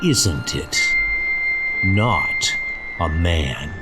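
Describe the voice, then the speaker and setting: A man giving dramatic scripted narration for a comic book, ominous and deliberate. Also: rumbling low, animated, loud music behind the voice.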